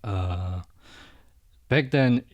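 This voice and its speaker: A middle-aged man from Indonesia, a Buddhist monk, answering interview questions in a hesitant, soft way with frequent restarts. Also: fairly low pitch, very slow, dull audio.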